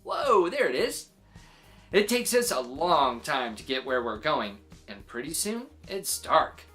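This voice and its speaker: Female children's story reader, low register, swooping expressive delivery, music faintly present. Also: measured pace. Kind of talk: animated read-aloud narration for children.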